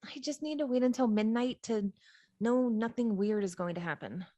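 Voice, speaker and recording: A woman's voice: on the high side, moderate pace, mellow, lightly acted. A woman reading the part of an earnest, anxious young witch in a script table read. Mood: worried.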